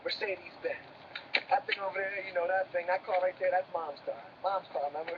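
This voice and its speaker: An actor playing a boastful, self-made older brother who swears a lot. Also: male voice, sits high, steady tone, lightly acted. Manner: profane, boastful, rapid-fire. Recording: distant, dull, with background noise.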